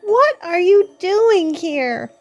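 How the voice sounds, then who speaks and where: A woman's voice: very high-pitched, sing-song, drawn-out words, a little mellow, soft background music, pitched above the performer's own voice, exaggerated voice. A woman voicing a frightened, panicky male skeleton in a children's storybook, with a screechy, urgent delivery. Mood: wary. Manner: alarmed, urgent exclaiming.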